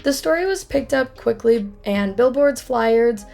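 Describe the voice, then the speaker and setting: A young woman with a hoarse, froggy voice, casually telling a true crime case as a YouTube host, with chatty, apologetic asides. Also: on the high side, expressive, measured pace, darkish tone, soft background music.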